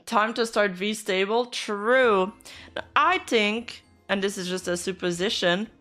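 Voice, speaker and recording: A woman's voice: mid-range voice, takes it slowly, pushed and bright, faint music behind. A woman VTuber streamer giving casual reaction commentary, blunt and sarcastic, swearing freely.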